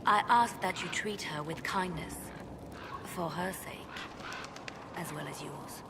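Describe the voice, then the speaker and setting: A guarded, low-voiced protective friend. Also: female voice, very noisy. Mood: cool, probing. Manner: measured, formal, pointed. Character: guarded, protective woman, loyal friend and quiet watcher. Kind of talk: video game cut-scene acting.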